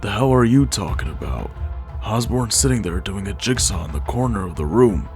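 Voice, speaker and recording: A man's voice: on the low side, very animated, moderate pace, a little mellow, soft background music. A man playing a blunt prison guard in a comic dub, colloquial and exasperated. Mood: insistent. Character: insistent, blunt male monitor-room prison guard.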